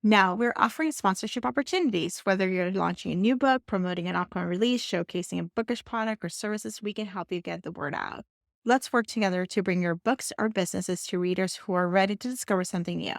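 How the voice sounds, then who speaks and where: A woman hosts a podcast with upbeat enthusiasm. Her delivery is casual and conversational, at an average pitch for a woman. The recording is dry, without room echo. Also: quick pace, gravelly. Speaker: podcast host. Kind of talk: casual book podcast hosting.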